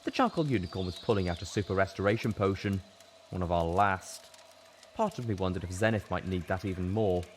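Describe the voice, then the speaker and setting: Male audiobook narrator, lowish voice, dramatic first-person fiction, some background noise and ambience. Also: brisk. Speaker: story reader.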